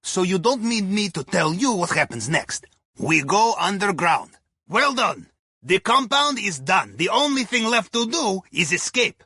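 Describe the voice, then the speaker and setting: A playful Russian submarine captain for a video game. The voice sits high for a man and swings widely in pitch, with a husky grain. He guides a crew with wry, theatrical flair in accented English. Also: sing-song, measured pace, pushed and bright, no room sound, clean studio sound, full character voice. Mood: calm, confident. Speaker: mission guide over comms.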